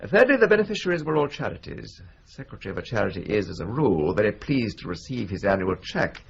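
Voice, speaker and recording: Gravelly formal solicitor. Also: male voice, on the low side, big pitch swings, heavily acted. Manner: measured, formal, precise. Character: dry, precise senior male solicitor. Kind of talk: radio drama acting. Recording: dull lo-fi broadcast recording.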